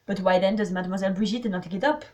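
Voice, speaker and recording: Woman putting on an elderly French detective, grave and deliberate. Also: mid-range voice, darkish tone, natural voice. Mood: grave, questioning. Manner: formal, deliberate, foreign phrasing. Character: elderly male French-speaking detective, polite, shrewd and grave.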